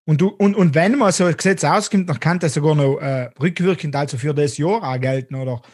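A man in his thirties with a South Tyrolean German accent, hosting an interview over a video call from a small dim room, talking haltingly with many restarts. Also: on the high side, a little mellow, husky, no echo, studio quality. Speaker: host. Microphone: video call webcam.

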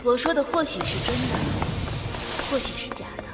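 An actress playing a tender, wistful young woman in a fantasy audio drama, speaking gently and hesitantly. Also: high voice, animated, a little fast, over loud music.

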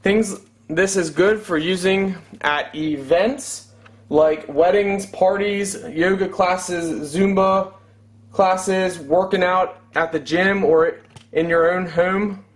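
A man's voice: sits high, fairly even intonation, takes it slowly, slight background noise. A man in his thirties doing a casual product unboxing review, talking as a reviewer at home. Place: room at home.

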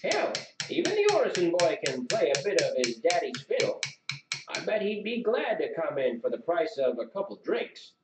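A folksy old villager, acted by a male narrator, sociable and outspoken, talks in a rustic, colloquial way. His voice is pitched up, in a roomy storybook recording with clear noise. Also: huge pitch swings, normal speed. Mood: friendly, nudging. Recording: roomy, distant mic, noisy with clicks.

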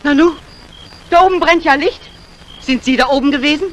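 Anxious woman, very high-pitched. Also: brisk, a bit bright. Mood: urgent, alert. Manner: urgent, dramatic. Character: anxious, devoted young woman in a thriller. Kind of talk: 1950s German radio play acting. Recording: noisy vintage radio recording.

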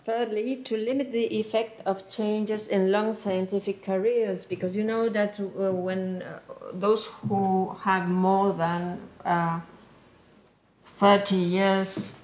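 A middle-aged woman delivers an academic lecture in English. She speaks slowly, at a medium pitch for a woman, and her phrasing is halting, with many pauses as she searches for the next word. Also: steady tone, a little mellow. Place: lecture room with green board, indoors.

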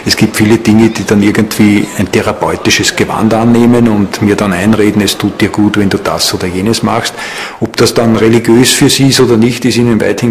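A man's voice: fairly low pitch, a little hiss or hum. A man giving reflective commentary in an interview.

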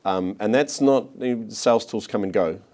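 A man's voice: fairly low pitch, normal speed, darkish tone. A man in his forties with a New Zealand accent, a marketing executive answering interview questions with frequent fillers and restarts. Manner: hesitant, many restarts and fillers. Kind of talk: corporate interview. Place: office studio set.

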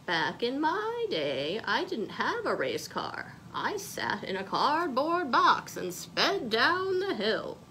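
A woman's voice: a little high, wildly swooping pitch, slow, hardly any pauses, bright, forceful tone, a little hiss or hum, voice pushed up, exaggerated voice, rough recording. A woman voicing a storybook grandmother who proudly and emphatically recalls her simple childhood. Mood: nostalgic.

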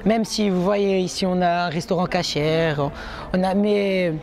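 A man's voice: high voice, fairly even intonation, a little slow, darkish tone. A seventeen-year-old boy from Morocco, answering an interview earnestly and repeating words. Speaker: student. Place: courtyard at night. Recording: crowd chatter behind. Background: people chatting.

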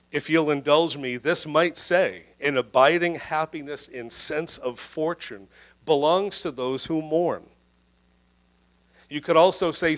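A man in his thirties preaching a sermon into a handheld microphone indoors, earnest and emphatic, slowing down to stress key phrases. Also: fairly high pitch, a bit bright. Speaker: pastor. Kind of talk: livestreamed sermon. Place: indoor church room.

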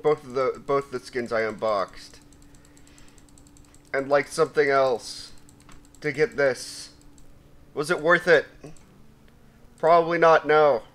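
A young man with a raspy voice giving casual, profane commentary while opening loot, swinging between mumbling and shouting. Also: medium pitch, slow, long pauses. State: raspy from sneezing. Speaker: gaming YouTuber.

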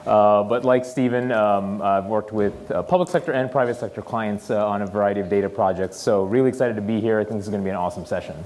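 Fast-talking man introducing himself. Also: on the low side, a little mellow, slight background noise. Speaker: conference co-presenter. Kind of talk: self-introduction at a conference. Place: large conference stage.

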